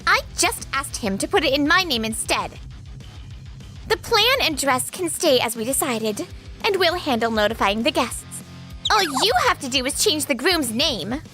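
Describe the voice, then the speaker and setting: A woman's voice: sits high, lively intonation, normal speed, music in the background. A woman playing a self-centred, breezy young fiancée in a manga dub. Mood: entitled.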